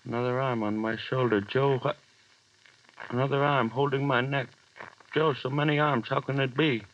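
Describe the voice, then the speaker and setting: A man's voice: mid-range voice, moderate pace, lightly acted. A man playing a doomed man facing a spider. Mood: terrified. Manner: breathless first-person monologue, panic turning eerily calm. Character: lone man hallucinating, stalked by a giant spider. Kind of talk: 1930s radio horror acting. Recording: dull vintage radio recording.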